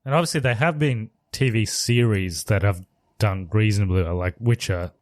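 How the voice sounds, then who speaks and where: A man co-hosting a podcast in casual chat, relaxed and often laughing as he steers the talk. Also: fairly low pitch, normal speed, no room sound, studio quality. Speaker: podcast co-host.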